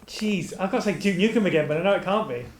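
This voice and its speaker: A man's voice: high-pitched, lively intonation, racing, very noisy. A man taking part as a quiz contestant, bantering casually.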